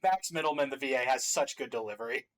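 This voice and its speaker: A man's voice: mid-range voice, fast talker. A man hosting a stream, giving casual reaction commentary.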